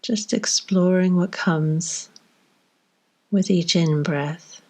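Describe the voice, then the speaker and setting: Low-voiced woman guiding a slow meditation. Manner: slow, gentle instruction with long pauses. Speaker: meditation guide. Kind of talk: guided body scan meditation.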